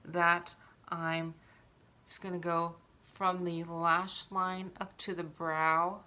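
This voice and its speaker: A woman's voice: fairly low pitch, little pitch movement, slow pace, pushed and bright. A woman hosting a casual makeup tutorial, explaining each step as she demonstrates it. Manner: instructional, talking while demonstrating.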